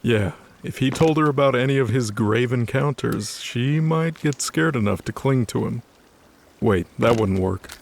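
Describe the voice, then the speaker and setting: A man's voice: medium pitch, moderate pace, creaky. A man narrating a scripted story in a conversational, wry way.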